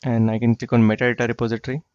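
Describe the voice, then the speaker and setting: A man walking through a software tool in a screen-share tutorial, explaining plainly and repeating himself. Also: medium pitch, flat delivery, rapid-fire, smooth, no echo. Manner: plain explanatory, repeats phrases like "you get the idea". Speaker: software trainer. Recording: dry, slightly dull lo-fi voice track.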